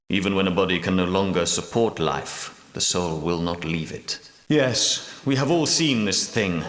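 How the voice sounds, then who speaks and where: An actor playing an ancient, solemn leader of holy knights in a video game, speaking gravely and formally, with portentous weight. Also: male voice, low voice, a bit bright. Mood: grave, reflective. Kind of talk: video game dramatic dialogue. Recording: roomy echo, distant from mic.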